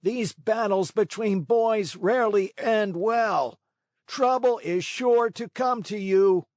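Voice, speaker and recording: A man voicing a large scolding crab in a children's storybook, with a congested, honking voice and a slow, admonishing manner. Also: sits high, a little mellow, no echo, studio quality, pitched above the performer's own voice. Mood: scolding, warning. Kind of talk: animated children's storybook read-along.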